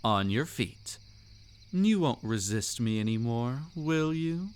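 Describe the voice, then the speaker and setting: A man's voice: mid-range voice, expressive, takes it slowly, smooth. A man playing a seductive, commanding vampire count in an intimate audio roleplay, suave, teasing and theatrically formal. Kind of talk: intimate roleplay audio drama, scripted monologue.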